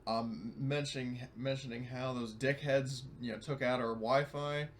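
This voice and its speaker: A man's voice: medium pitch, fairly even intonation, a little slow, a little hiss or hum. A man chatting casually as a player in a tabletop roleplaying game.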